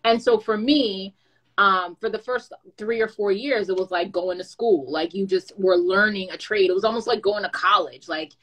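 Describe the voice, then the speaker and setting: Woman, working creator and brand manager, casual advice talk, chatty and playful, mid-pitched voice. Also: normal speed, poor audio. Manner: lively, chatty, with playful asides.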